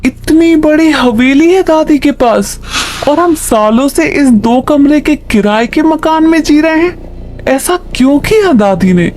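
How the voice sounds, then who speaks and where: A woman playing a bold, skeptical young woman in a cartoon horror story, expressive and sprinkled with English phrases. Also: high voice, measured pace, a little hiss or hum. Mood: wondering, resolute.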